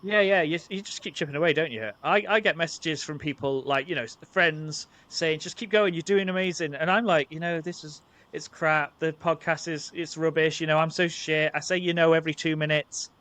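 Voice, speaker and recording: A man's voice: a little high, fairly even intonation, brisk, hardly any pauses, a bit bright. A man hosting a podcast, chatting casually in a rambling, self-deprecating way with plenty of fillers. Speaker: podcast host. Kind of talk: casual chat.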